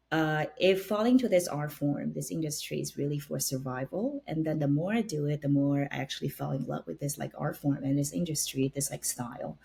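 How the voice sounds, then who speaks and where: Husky-voiced woman from China, low and lively. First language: Chinese. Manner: animated storytelling, frequent fillers and restarts. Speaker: guest, opera stage director. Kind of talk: conversational interview.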